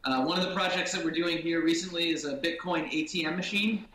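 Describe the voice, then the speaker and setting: Guy giving a casual tour of his workshop, bright voice, handheld cam. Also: on the high side, fast talker, low-quality recording. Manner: easygoing, plain explaining. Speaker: lab founder showing his project. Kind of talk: casual show-and-tell over a video call. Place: cluttered workshop lab, indoors.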